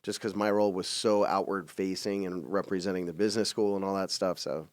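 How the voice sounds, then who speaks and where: A man's voice: low voice, level tone, a little fast. A man co-hosting a podcast, chatting casually and conversationally. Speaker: podcast co-host.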